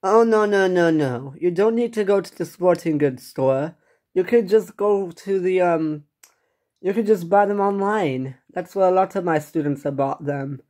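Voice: mid-range voice, moderate pace, a little mellow, close to an everyday voice. A performer voicing a firm, polite karate instructor who talks in an instructing way in a pretend-play story. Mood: welcoming. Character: insistent, chipper karate instructor.

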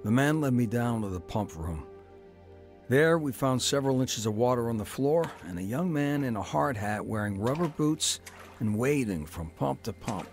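A man's voice: medium pitch, expressive, moderate pace, faint music behind. A man narrating his own story of faith in a dramatized radio testimony, earnest and preacherly.

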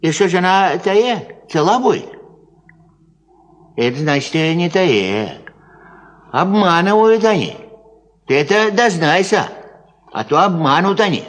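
Halting old peasant man, high-pitched. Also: sing-song. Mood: doubting. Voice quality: halting, tongue-tied, full of filler words. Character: pious, stammering old peasant man, simple and indignant. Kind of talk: 1950s Soviet radio play acting. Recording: 1950s radio recording, long reverb.

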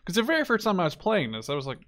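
A man's voice: sits high, huge pitch swings, very fast, fairly bright tone. A man in his twenties giving casual gameplay commentary, rambling, interrupting himself and swearing now and then. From Netherlands. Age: 20s. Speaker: gaming YouTuber.

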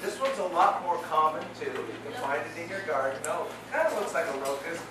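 A man's voice: a little high, animated, a little fast. Older man in a very echoey classroom. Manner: encouraging, praises answers. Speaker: guest presenter. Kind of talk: interactive classroom lesson. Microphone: far camera. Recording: very echoey, distant, noisy classroom.

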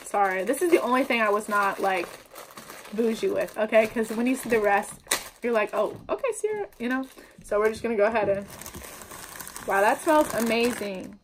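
A woman's voice: a little high, animated, moderate pace, slight background noise. A young woman vlogging at home, talking casually to the camera with upbeat, slangy chatter while she bakes. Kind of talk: casual baking vlog. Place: indoors at home, kitchen table before a wallpapered wall.

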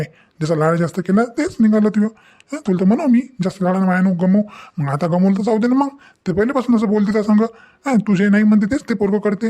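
Man playing a cartoon village father, high voice, dark tone, fast, bossy, scolding, Varhadi Marathi accent. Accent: Varhadi Marathi from Vidarbha, Maharashtra. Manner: scolding, repeats phrases for emphasis. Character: angry, scolding rural father, domineering and threatening. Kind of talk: cartoon family comedy dialogue.

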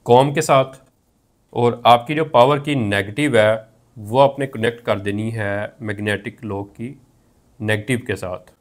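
A man in his thirties with a Pakistani accent, teaching methodically as a technical instructor and repeating each step. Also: mid-range voice. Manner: repetitive, methodical explaining. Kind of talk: instructional tutorial, explaining step by step. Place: indoor room at a whiteboard.

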